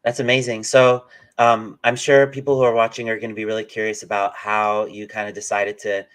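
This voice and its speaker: The man running a relaxed online livestream interview, easing guests into long, loosely worded questions. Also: fairly low pitch, brisk. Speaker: interviewer, channel host. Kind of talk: casual live interview.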